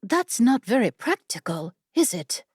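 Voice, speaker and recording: Lady doing a clever bookish woman in a fantasy audiobook, eager haggler, highish voice, super expressive. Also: unhurried, slightly rough, no echo, studio quality, exaggerated voice. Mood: bold, reasoning. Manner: earnest, persuasive, eager. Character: quick-witted, bargaining female scholar and story collector. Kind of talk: fantasy audiobook character acting.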